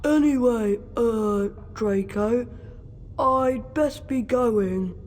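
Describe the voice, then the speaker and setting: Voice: mid-range voice, very slow, a little mellow. An actor voicing an earnest English boy wizard of about twelve in a video game cutscene. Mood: nervous, hesitant.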